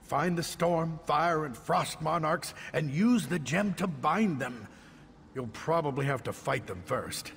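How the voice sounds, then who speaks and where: Agitated captive mage, gravelly and swooping. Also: male voice, on the high side, animated, measured pace, fairly bright tone, full character voice. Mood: wary, explaining. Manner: agitated, theatrical. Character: fearful, indignant middle-aged captive mage. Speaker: game character actor. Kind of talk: video game dialogue acting. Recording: long reverb, background noise.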